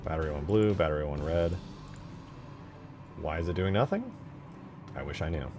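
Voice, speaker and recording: A man's voice: extremely low pitch, moderate pace. A man talks through his work in an informal, casual way. He often mutters to himself as he thinks aloud. Music plays behind him, along with some typing on a keyboard. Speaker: streamer, game modder. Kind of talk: casual live commentary while scripting a game mod.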